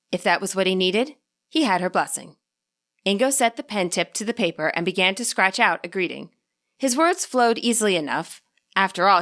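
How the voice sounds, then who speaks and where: A woman's voice: medium pitch, a bit bright. A woman reading her own fan fiction aloud as a scripted story narration.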